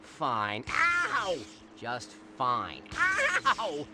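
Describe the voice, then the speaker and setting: A man's voice: high-pitched, huge pitch swings, gravelly, over loud music, exaggerated voice. A man voicing a smug, scheming sea cucumber in a cartoon video game, with a self-satisfied, pained manner. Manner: slow, self-satisfied, broken by yelps.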